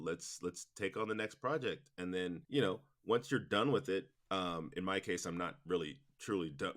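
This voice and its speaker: A man's voice: low-pitched, a bit bright. A man being interviewed about his audio drama work, answering casually with many fillers and restarts. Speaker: guest, audio drama producer and director.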